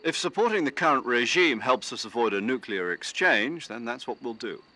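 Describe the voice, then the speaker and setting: Military commander, medium pitch, measured, firm, authoritative briefing, game drama. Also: male voice, lively intonation, bright, forceful tone. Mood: firm, businesslike. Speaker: mission commander. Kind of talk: dramatic video game voice acting.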